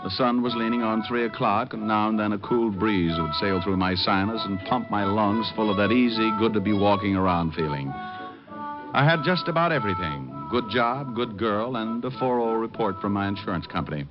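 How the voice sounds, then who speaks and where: A man's voice: fairly low pitch, normal speed, natural voice. A man playing a flippant, charming private detective in old radio drama style, teasing and breezy. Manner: teasing, playful, sarcastic. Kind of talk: 1940s-50s radio detective acting with first-person narration. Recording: dull vintage radio recording with music.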